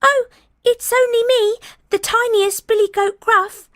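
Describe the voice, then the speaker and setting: A woman voicing the tiniest billy goat in a storybook, with a small, squeaky, pleading voice. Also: steady tone, measured pace.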